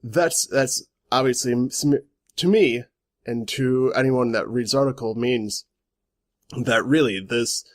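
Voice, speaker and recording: Male vlog host, stuffy nasal cold voice, mid pitch, dry close sound, rambling delivery. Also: slow pace, dry recording. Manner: rambling, self-correcting. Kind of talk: casual gaming news vlog.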